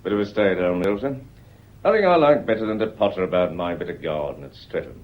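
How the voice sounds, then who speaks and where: A man acting in a British radio play as a polite, quietly persistent police inspector, measured and unflappable, with a British accent. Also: low-pitched, lively intonation. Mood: courteous, casual. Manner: deferential, measured, unflappable, constantly courteous. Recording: dull lo-fi radio recording.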